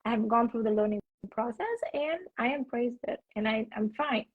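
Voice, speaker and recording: A woman's voice: on the high side, moderate pace, no room sound. A young woman with a Vietnamese accent, a teacher explaining her views thoughtfully in a casual video call, restarting words as she goes. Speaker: English teacher, guest. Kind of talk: casual conversation. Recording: dull lo-fi video call audio.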